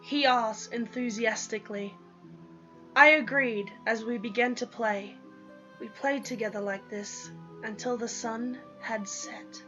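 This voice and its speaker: A woman's voice: fairly high pitch, fairly even intonation, a little slow, a bit bright. A young woman giving a dramatic first-person reading of a story, on a lo-fi recording with music behind. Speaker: reader of own story.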